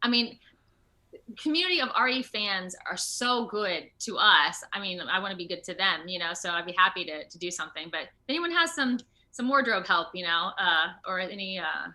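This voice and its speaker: Bright-voiced woman, chatty and informal. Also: on the low side, normal speed. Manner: animated, chatty, informal with frequent "like" and "you know". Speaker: voice and motion capture actor, interview guest. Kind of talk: casual video interview chat. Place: at home, indoors on a video call.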